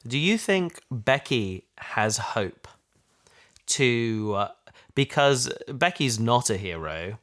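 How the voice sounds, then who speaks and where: A man in a casual discussion as a podcast host, speaking haltingly and often restarting his sentences. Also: medium pitch, big pitch swings, fairly bright tone. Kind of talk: casual discussion of an audio drama.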